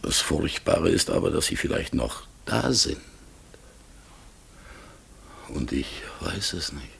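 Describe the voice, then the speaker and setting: An actor in a radio play playing an anguished outcast talking raven, raspy and breathy, speaking in a halting, lamenting way. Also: male voice, a bass voice, sing-song, a bit bright, full character voice. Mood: despairing. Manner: halting, heavy, lamenting delivery. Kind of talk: German radio play acting.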